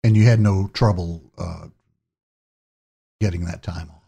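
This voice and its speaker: A man's voice: low-pitched, steady tone, measured pace, gravelly, dark tone, slightly rough. A man interviewing two guests, asking brief, probing questions.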